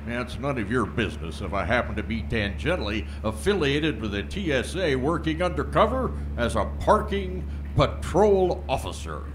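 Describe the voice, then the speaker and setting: A man acts out a gruff small-town sheriff in a comic radio play. He is officious and full of bluster, with intonation that moves up and down a lot. There is some noise in the recording. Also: mid-range voice, expressive, moderate pace, few pauses, close to an everyday voice. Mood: threatening, blustering. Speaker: voice actor. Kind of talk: comic audio drama acting. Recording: noticeable background noise.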